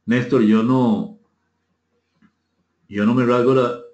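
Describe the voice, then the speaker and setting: A man's voice: medium pitch, fairly even intonation, slow pace, a little mellow. A man with a Costa Rican accent leading an online seminar, courteously inviting participation and repeating phrases as he reads out and answers comments. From Costa Rica. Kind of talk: interactive webinar talk, reading out chat comments. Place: indoor room, online session. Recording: echoey room, muffled dull audio.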